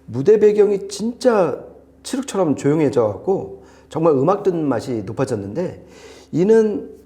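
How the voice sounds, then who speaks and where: A man with a soft, mellow voice and a very lively pitch, giving a conversational gear review. He talks enthusiastically and puts emphasis on his points. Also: normal speed. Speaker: audio gear reviewer on a shop's video show.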